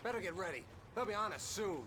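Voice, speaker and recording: An actor playing a brash, cocky kidnapper in a video game, barking gruff taunts in a folksy way. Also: male voice, high voice, animated, quick pace, noisy background. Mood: aggressive, taunting. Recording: loud music behind the voice. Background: loud game music.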